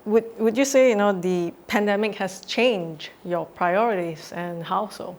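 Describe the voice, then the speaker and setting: A woman from Southeast Asia hosts an interview, her voice sitting at a middle pitch. She asks her questions in a friendly, relaxed way and laughs easily. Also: a little slow. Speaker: interviewer, editor. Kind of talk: casual interview.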